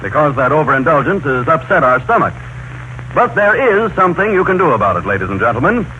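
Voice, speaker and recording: A man's voice: fairly high pitch, sing-song, fast, sharp tone, muffled recording. A male announcer delivering scripted 1930s radio advertising, persuasive and carefully phrased, on an old scratchy recording.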